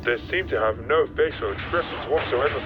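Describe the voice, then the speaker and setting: A man's voice: medium pitch, brisk, music in the background. A man playing a nervous soldier reporting over a radio in a fan audio drama. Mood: uneasy. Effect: radio filter. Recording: muffled radio signal.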